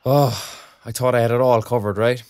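A man's voice: mid-range voice, brisk, clear, resonant tone, no room sound, clean studio sound, close to an everyday voice. An actor playing a chatty, put-upon, good-natured younger father in an audio drama, speaking colloquially with an Irish accent. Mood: rueful, animated. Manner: colloquial, animated.

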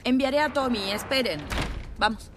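A woman's voice: fairly high pitch, moderate pace, background noise, natural voice. A woman voicing a firm settlement leader. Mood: brisk. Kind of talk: dubbed video game dialogue. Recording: game audio over clear music.

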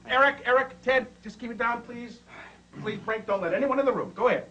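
An actor playing a harried, placating tour manager in a comic film, pleading and hurried. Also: male voice, extremely high pitch, sharp tone, lo-fi sound. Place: small crowded backstage dressing room. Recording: very noisy room sound.

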